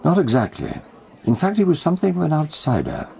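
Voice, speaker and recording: A man with a British accent acting in a radio drama as a brooding, enigmatic storyteller who recounts crimes with deliberate, theatrical phrasing. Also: a little high, huge pitch swings, vocal fry, dark tone, full character voice. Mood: suspenseful.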